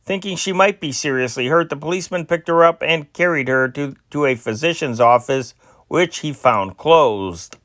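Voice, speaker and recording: A man's voice: fairly high pitch, normal speed, fairly bright tone, no room sound, studio quality. A man reading old newspaper stories aloud as an amateur news reader, stumbling and correcting himself now and then.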